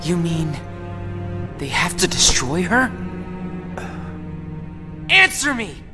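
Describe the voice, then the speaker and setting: A man's voice: sits high, sing-song, slow pace, music in the background, heavily acted. An actor playing an earnest, confused and stubborn teenage boy in a video game, insistent and questioning, with long reverb on the voice. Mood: upset, defiant.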